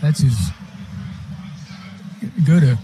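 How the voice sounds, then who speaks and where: A man's voice: medium pitch, extremely slow, dark tone, a little hiss or hum. A man giving casual, folksy color commentary on a live football radio broadcast.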